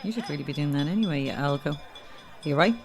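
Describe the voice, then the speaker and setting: An actress playing a blunt, sardonic Irish news reporter in a naturalistic audio drama. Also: female voice, low-pitched, animated, quick pace, faint music behind. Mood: wry. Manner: dry, sardonic.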